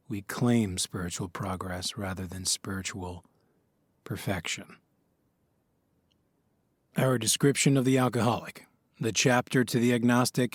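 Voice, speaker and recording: An actor playing an earnest, encouraging man who leads a recovery meeting in an audio drama, speaking in a measured way. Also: fairly low pitch, no echo. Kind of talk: measured reading aloud and announcements.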